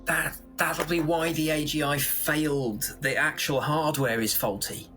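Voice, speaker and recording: A man playing a cautious, flustered space factory manager in an improvised sci-fi drama, halting and repeating his words. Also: fairly high pitch, steady tone, pushed and bright, soft background music. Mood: flustered, worried.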